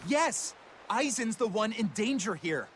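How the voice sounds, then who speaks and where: High-pitched excitable young sailor. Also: male voice, a little slow, slight background noise. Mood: agitated. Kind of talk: English anime game dub.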